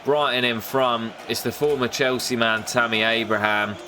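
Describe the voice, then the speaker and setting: A young man with a nasal voice from a cold, commentating his football game in a casual play-by-play that jumps up excitedly at big moments. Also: normal speed, a little hiss or hum. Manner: excitable, bursts up in pitch at goals and misses. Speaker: gaming YouTuber commentating his career mode.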